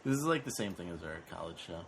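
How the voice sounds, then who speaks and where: Male podcast host, 30s, with a very deep voice. Dry, sarcastic, unhurried delivery. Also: very animated, vocal fry, slight background noise. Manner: dry, sarcastic and laid-back, with fillers. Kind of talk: casual podcast chat.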